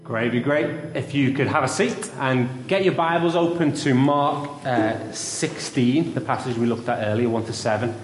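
A young man preaching and telling a story in a church, with a loud voice. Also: medium pitch, normal speed, hardly any pauses. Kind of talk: sermon with story. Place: church hall. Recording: roomy church with long reverb.

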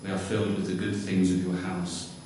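A man reading psalms aloud in a flat, solemn way. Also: deep voice, fairly quick, slight background noise. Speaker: scripture reader. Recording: distant mic in echoey church.

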